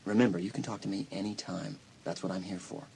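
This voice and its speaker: An actor playing a concerned, reassuring school counselor in an audio drama, speaking earnestly and soothingly with some hesitation. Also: male voice, deep voice, quick pace, vocal fry, a little mellow, a little hiss or hum.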